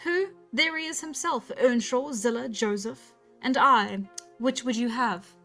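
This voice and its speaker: A woman's voice: on the high side, measured pace, fairly bright tone, soft background music. A woman voicing a scornful young witchy wife in a storybook, haughty, mocking and threatening. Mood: cool disdain. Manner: haughty, mock-malignant.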